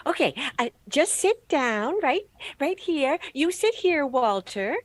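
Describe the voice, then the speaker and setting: A woman's voice: high voice, expressive, slow pace, heavy background noise. A woman playing a fussy, playful landlady in a 1940s-style radio comedy, speaking in a fluttery, singsong way. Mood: fussy, hospitable. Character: fussy, chirpy, playful landlady serving breakfast.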